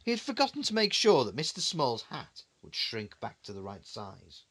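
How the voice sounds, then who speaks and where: A man's voice: mid-range voice, wildly swooping pitch, normal speed, a bit bright. A man reading a bedtime story to his young child in a conversational way, with questioning asides and dramatic repetition.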